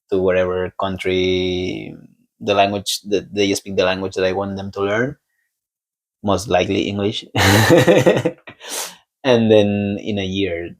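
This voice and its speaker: Deep gravelly Spanish-accented man. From Spain. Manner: relaxed, halting, laughs often. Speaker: guest. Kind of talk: casual conversation.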